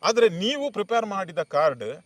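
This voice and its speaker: A man's voice: high voice, very animated, normal speed, no echo. A man hosting an interview conversationally, often mixing in English words. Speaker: show host, interviewer.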